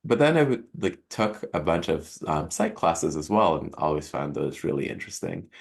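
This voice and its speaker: A man in his thirties from Jamaica, a professor answering interview questions thoughtfully, with frequent chuckles and pauses. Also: deep voice, sing-song, creaky, husky. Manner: thoughtful, chuckles often, many ums. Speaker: professor guest.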